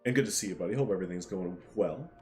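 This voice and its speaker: A man's voice: low voice, very fast, a little mellow, soft background music. A man hosting a casual livestream, stuffed up from a sinus cold, chatting in a friendly, rambling way and reading out chat. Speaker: livestream host.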